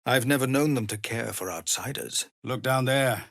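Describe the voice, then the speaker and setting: A male actor voicing a weary, earnest refugee leader and fallen warrior in a fantasy video game, with a gravelly, husky voice and a measured, formal, grave delivery. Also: medium pitch, fairly bright tone, no echo, studio quality. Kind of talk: dramatic fantasy game dialogue.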